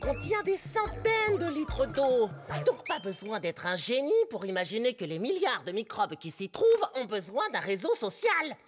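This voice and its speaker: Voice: medium pitch, huge pitch swings, normal speed, hardly any pauses. An actor playing an earnest, anxious pre-teen geek microbe boy in a cartoon dub, fussy and precise. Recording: music behind the voice.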